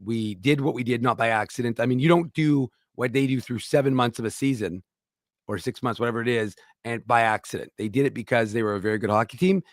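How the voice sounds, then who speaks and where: A man's voice: medium pitch, fast talker, no room sound, clean studio sound. A man co-hosting a hockey talk show, giving emphatic, opinionated takes in casual chat.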